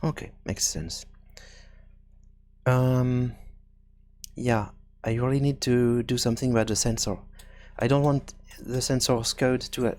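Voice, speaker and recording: A man giving an informal software tutorial, thinking aloud in a halting, self-correcting way. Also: medium pitch, steady tone. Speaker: tutorial presenter.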